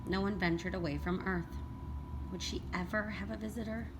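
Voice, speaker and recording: A woman reading a children's picture book aloud as a teacher would, in an expressive, deliberate storytelling manner. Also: mid-range voice. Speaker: elementary school read-aloud teacher. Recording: clearly noisy background.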